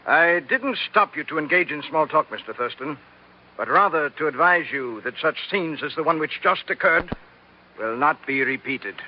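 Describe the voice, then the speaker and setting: An actor playing a coldly menacing plantation manager, clipped and formal, in 1940s radio drama style. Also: male voice, on the high side, animated, normal speed, lightly acted. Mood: icy menace. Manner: clipped, formal, veiled threats. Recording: muffled, noisy old radio broadcast.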